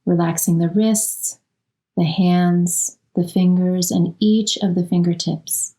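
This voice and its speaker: A woman with a very mellow, relaxed and fairly low voice guides a meditation. She speaks very slowly and soothingly, giving gentle instructions in short phrases and leaving long pauses between them. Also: low-quality recording. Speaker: yoga nidra meditation instructor.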